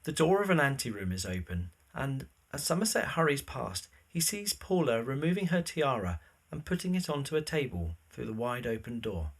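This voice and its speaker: A man's voice: mid-range voice, very animated, moderate pace, hardly any pauses, slightly rough. A man reading scripted present-tense narration for an audio drama.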